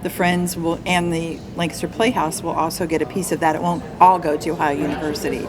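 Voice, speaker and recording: A woman's voice: low-pitched, flat delivery, fast, background noise. An American woman talking conversationally as an interview guest. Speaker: interview guest, community theatre member. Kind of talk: casual interview talk.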